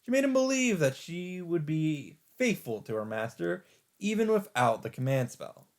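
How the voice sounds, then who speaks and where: A man reading a visual novel aloud as a let's play host, in dramatic, expressive narration with deliberate phrasing and pauses. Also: fairly high pitch. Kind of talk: dramatic narration read aloud from on-screen text. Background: background music.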